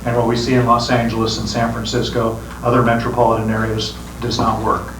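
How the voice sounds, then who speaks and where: A man's voice: medium pitch, completely flat delivery, a little fast. A man who is a sheriff running for re-election, giving an earnest campaign speech to a meeting audience and often repeating words as he starts phrases. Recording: echoey room, distant mic, background noise.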